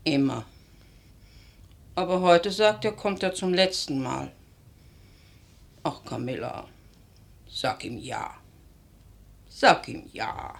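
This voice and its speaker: A careworn, loving mother in a German radio drama. Her voice is low and she speaks slowly, pleading softly and tenderly. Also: animated, vocal fry, exaggerated voice. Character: anxious, loving, careworn mother of a poor family. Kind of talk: German radio play acting.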